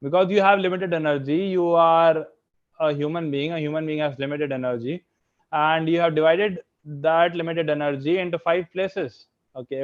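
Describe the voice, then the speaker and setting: A man's voice: on the high side, narrow, tinny audio. A young man with an Indian accent giving an online lecture with slides. He keeps filling his sentences with "okay" and "means" and puts questions to the audience. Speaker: guest speaker, software engineer.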